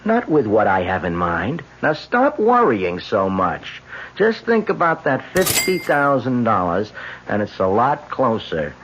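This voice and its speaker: A man's voice: medium pitch, wildly swooping pitch, measured pace. An actor playing a confident, scheming out-of-work stage man in an old-time radio drama, theatrical and self-assured. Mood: coolly scheming. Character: scheming, confident unemployed actor plotting insurance fraud. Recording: muffled, hissy old radio recording.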